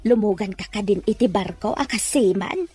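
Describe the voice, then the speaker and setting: A woman's voice: medium pitch, moderate pace, mellow, slightly rough, soft background music, lightly acted. A woman playing a wistful, loving older Ilocano mother in an audio drama, speaking with an Ilocano accent. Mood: worried.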